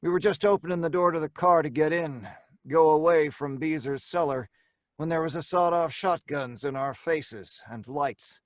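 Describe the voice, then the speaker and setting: A man's voice: on the high side, moderate pace, no echo, studio quality, lightly acted. Man acting a wry condemned young crook, radio drama. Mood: tense storytelling. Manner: wry, sardonic storytelling. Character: young male crook, condemned, wry first-person narrator. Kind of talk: old-time radio drama narration and acting.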